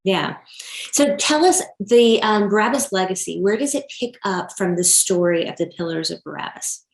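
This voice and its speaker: A woman's voice: medium pitch, expressive, moderate pace. A woman hosting a podcast interview in a conversational way, recorded with a roomy echo. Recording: roomy, echoing sound.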